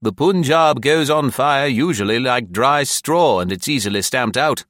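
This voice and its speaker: A man's voice: mid-range voice, lively intonation, unhurried, a bit bright, dry recording, clean studio sound, pitched above the performer's own voice. A man voicing a cool, wry British colonial commissioner in an audiobook. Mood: frank, urgent.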